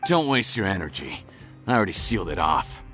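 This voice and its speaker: An actor playing a smug, ruthless fugitive crime boss in a video game, with a mocking, theatrical sneer. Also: male voice, low-pitched, sing-song, fairly quick, sharp tone, heavily acted. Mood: smug, menacing. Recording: game music behind the voice.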